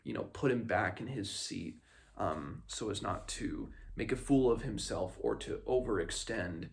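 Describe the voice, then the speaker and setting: A man's voice: on the low side, animated, unhurried, vocal fry. A man hosting a book read-along, explaining in a casual, slightly hesitant and rambling way. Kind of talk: casual book discussion with passages read aloud.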